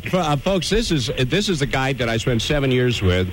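A man's voice: medium pitch, sing-song, moderate pace, a little hiss or hum, rough recording. A middle-aged man hosting live morning radio with jovial, joking banter. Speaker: radio host.

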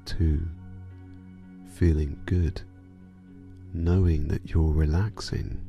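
A man guiding a sleep meditation in a soothing, hypnotic manner, drawing out his phrases and letting endings fall soft and breathy. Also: low voice, lo-fi sound. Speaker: meditation guide.

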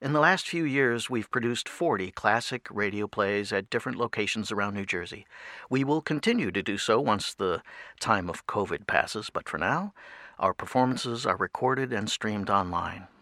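A man giving a scripted introduction as a radio show's artistic director, in a polished, deliberate presenting manner. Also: lowish voice, lively intonation, bright, forceful tone, no room sound, studio quality.

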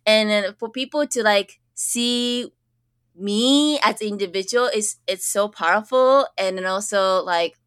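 Bright earnest young woman, born in Japan. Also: medium pitch, a little slow, smooth, dry recording, clean studio sound. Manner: earnest, many fillers and restarts. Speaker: school counselor guest. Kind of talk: casual interview conversation. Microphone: podcast microphone.

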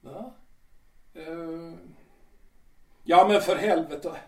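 A man's voice: fairly high pitch, a little mellow, recorded from a distance, natural voice. A man playing an irritable, impatient stage actor in an audio drama, blustering and cursing when things go wrong. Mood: exasperated. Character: vain irritable male stage actor Peter. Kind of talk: amateur audio drama acting. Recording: roomy, from off-mic.

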